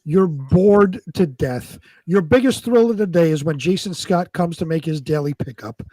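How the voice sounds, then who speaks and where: Male co-host, notably high voice, dry close recording, explanatory and foul-mouthed casual chat. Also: moderate pace, mellow, no room sound, poor audio. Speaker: co-host of a live comedy talk show. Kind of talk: casual banter.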